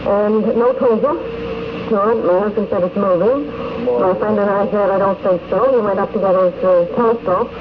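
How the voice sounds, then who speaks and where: A woman giving an articulate, earnest and measured personal account as an interviewed convention attendee. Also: mid-range voice. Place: convention in the countryside. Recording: dull, very noisy 1950s radio recording.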